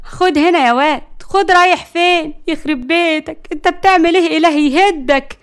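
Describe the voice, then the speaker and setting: A woman voicing a fiery, exasperated grandmother and jealous wife in an audio story, loud and sharp-tongued, with an Egyptian accent. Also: high-pitched, quick pace, natural voice. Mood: scolding.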